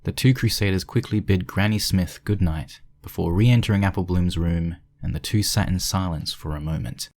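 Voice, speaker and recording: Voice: low voice, normal speed, few pauses, darkish tone. A narrator reading a scripted fan fiction story aloud.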